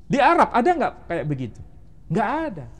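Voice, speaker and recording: A man's voice: sits high, wildly swooping pitch, normal speed. A man, an Islamic teacher, explaining religion in an interview and quizzing the interviewer with rhetorical questions.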